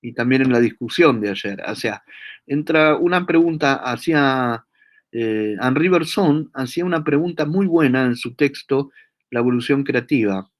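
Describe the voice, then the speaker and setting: A man's voice: medium pitch, moderate pace. Thoughtful Argentine man lecturing. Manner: thoughtful, hesitant, frequent tag questions. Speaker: guest lecturer answering questions. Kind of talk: academic seminar discussion.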